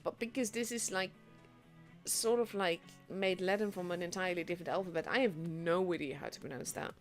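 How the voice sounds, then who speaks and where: Dutch girl casting tanks solo, chatty n self-deprecating, gets excited, faint music behind. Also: lowish voice, fairly quick. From Netherlands. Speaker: esports caster. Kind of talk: solo game commentary.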